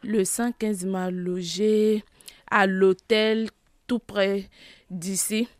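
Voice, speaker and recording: A woman's voice: medium pitch, little pitch movement, extremely slow, no echo, studio quality. A woman with an Ivorian accent telling her own story simply as an interviewed mother. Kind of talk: simple spoken personal account.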